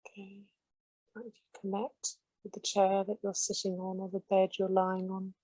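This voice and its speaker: A woman leading a guided meditation with slow, soothing, gentle instructions. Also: medium pitch, completely flat delivery, no room sound.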